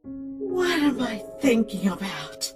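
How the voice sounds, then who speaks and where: Anxious young monster, played by a woman: high voice, very slow halting delivery, troubled, cartoon dub. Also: very animated, exaggerated voice. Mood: shaken, resigned. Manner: hesitant, halting. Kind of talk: animated comic dub voice acting. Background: music.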